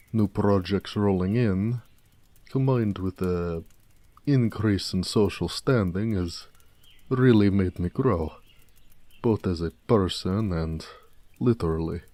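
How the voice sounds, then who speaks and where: A man playing a big, muscular orc blacksmith in an intimate audio drama, proud, gentle and affectionate, with courteous, slightly formal speech. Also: low-pitched, no room sound. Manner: unhurried, soft-spoken, courteous, slightly formal. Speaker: voice actor.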